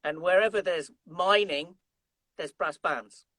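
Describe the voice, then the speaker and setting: A man's voice: high voice, unhurried, pushed and bright, no echo. A man in his fifties with an English Midlands accent, chatting as an interview guest and telling stories with lots of fillers and restarts. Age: 50s. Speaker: guest trumpet player. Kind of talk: conversational interview.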